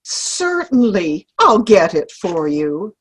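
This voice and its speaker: A woman plays a pious, fussy grandmother hosting in a stage comedy. Her husky voice is slow and swings widely in pitch, prim and polite. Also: big pitch swings, heavily acted. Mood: soothing, fussing. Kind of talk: play reading. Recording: video call play reading.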